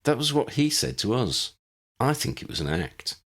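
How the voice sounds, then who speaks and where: A man's voice: mid-range voice, very animated, moderate pace, creaky, fairly bright tone, voice pushed up, exaggerated voice. A man voicing a cocky, wry young male journalist with an airy, confident manner in an audiobook story. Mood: airy, teasing.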